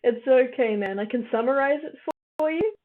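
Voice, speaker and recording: A woman's voice: high voice, moderate pace. A young woman from New Zealand chatting on a livestream with a tired voice, summarizing an article and answering viewers in a chatty way with lots of fillers. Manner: chatty, laughs often, many fillers, answers chat as she goes. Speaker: livestream host. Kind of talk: casual chat with a live chat, summarizing a reading. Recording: phone-like thin stream audio.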